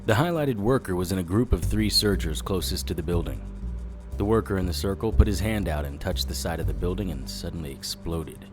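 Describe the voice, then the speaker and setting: Deep, deadpan hard-boiled detective. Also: male voice, a little fast, over music. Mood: wry, deadpan. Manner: wry, deadpan. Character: hard-boiled, sardonic male occult investigator. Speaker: narrator and lead character. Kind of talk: first-person noir narration in audio drama.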